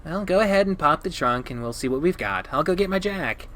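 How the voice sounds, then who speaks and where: A man's voice: fairly high pitch, animated, fairly quick, fairly bright tone, pitched above the performer's own voice. A man voicing an easygoing male friend in a visual novel, practical and helpful.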